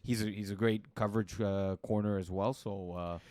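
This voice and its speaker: Low-voiced man, halting sports chat. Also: fairly even intonation, warm tone, dry recording. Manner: hesitant, lots of fillers and agreeing interjections. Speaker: podcast co-host.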